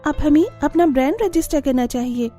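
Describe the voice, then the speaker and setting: A woman acts as a devoted young daughter-in-law in a cartoon tale. Her voice is high but soft and mellow, with an earnest, respectful way of speaking over faint music. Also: fairly quick, lightly acted. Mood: determined. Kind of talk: cartoon story dialogue.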